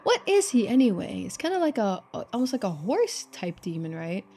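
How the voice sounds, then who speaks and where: A woman streamer giving chatty game commentary and expressive narration. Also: fairly high pitch, fairly quick, a little hiss or hum. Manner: casual, chatty. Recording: music behind the voice. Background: music.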